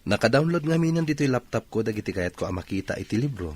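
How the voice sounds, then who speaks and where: A man's voice: mid-range voice, lively intonation, fast talker, darkish tone, breathy edge. A man playing an evasive, defensive young student boyfriend in an Ilocano radio drama, with an Ilocano accent. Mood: casual, puzzled.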